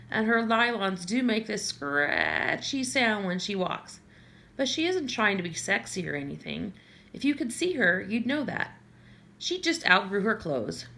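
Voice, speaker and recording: A woman's voice: medium pitch, vocal fry, a bit bright. Woman reading a novel aloud. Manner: conversational, with small slips and self-corrections. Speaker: audiobook reader. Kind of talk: first-person novel reading.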